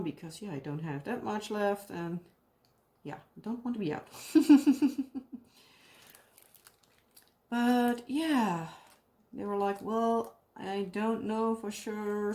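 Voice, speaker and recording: A woman's voice: medium pitch, slow, long pauses, dark tone. A young woman with a Dutch accent chatting casually in a rambling way, often searching for English words and laughing. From Netherlands. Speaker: craft vlogger. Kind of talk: casual chat while crafting.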